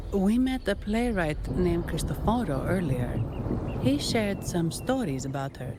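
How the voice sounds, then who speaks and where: A woman playing a composed young adventurer in a video game, calm and deliberate. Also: mid-range voice. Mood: encouraging. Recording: loud music behind the voice. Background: loud game music.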